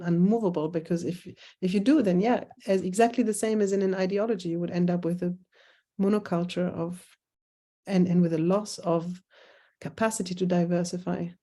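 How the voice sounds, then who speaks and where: A woman lecturer leading an online seminar over a video call, thinking aloud with halting restarts. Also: lowish voice, mellow.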